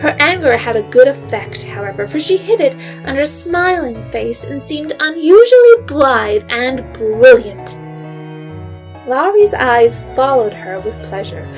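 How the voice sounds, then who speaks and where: A woman narrating a period audio drama in a measured, literary storytelling manner. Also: sits high, low-quality recording.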